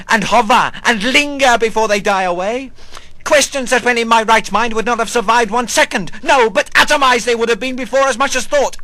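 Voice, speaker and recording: An actor playing an old, half-mad, bitter but harmless wanderer in a dramatic monologue, rambling in broken phrases with sudden outbursts. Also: male voice, high voice, sharp tone, dry recording, full character voice, rough recording. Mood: confused, faltering, then agitated. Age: older. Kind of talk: dramatic literary monologue reading.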